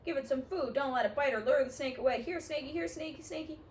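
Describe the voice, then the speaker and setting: A frantic middle-aged banker babbles in a high, flustered voice, as a woman puts him on. His panic spills out in a storybook reading, set in a roomy, echoing space. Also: fast talker, close to an everyday voice. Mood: panicked. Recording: roomy echo.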